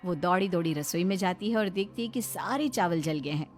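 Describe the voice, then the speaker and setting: A woman narrating an animated moral story in an expressive storytelling style. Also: lowish voice, fairly quick, music in the background.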